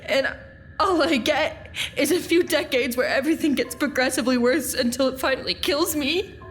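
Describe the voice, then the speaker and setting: Casting: woman to play young woman in audio drama, high voice, plaintive and halting, bitter at a dying world, music underneath. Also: natural voice. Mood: bitter, weary.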